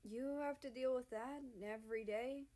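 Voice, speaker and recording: A woman's voice: high voice, fairly even intonation, moderate pace, mellow, voice pushed up, close to an everyday voice. A woman voicing a flustered, bashful young man with an exasperated, emphatic manner in a story reading. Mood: soft, unsure.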